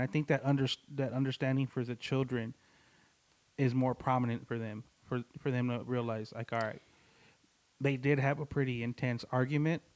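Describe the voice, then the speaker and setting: A man co-hosting a podcast in a recording studio, talking casually in a rambling, slangy way with many restarts. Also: mid-range voice. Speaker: podcast co-host. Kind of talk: casual chat.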